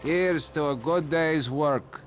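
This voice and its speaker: A video game repairman who likes his drink. His voice is fairly high for a man and slow, drawing out his toasts over music. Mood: boozy toast.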